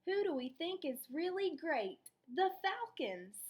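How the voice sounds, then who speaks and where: A woman voicing young girls chanting cheers for their soccer team in a storybook read-aloud, loud and rhythmic. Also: very high voice, very animated, unhurried, pitched above the performer's own voice, full character voice. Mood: cheering.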